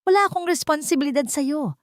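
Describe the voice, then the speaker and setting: A woman's voice: high-pitched, lively intonation, quick pace, no echo, studio quality, pitched above the performer's own voice. A woman voicing a frightened, weary waitress in an audio romance story, pleading yet firm with her ex. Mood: firm, angry.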